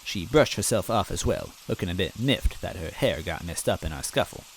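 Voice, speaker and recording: A man's voice: low-pitched, animated, normal speed, gravelly, slight background noise, rough recording. A man reading a fan story aloud in first person, earnest and timid in tone. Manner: earnest and timid, self-deprecating. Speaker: story reader.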